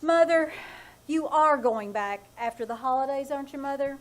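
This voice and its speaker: A woman's voice: sits high, moderate pace, natural voice. A woman playing a dry-witted, exasperated husband in a live 1940s-style radio comedy on a theater stage. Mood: wry. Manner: dry, wry, sighing asides. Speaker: actor in live old-time radio play.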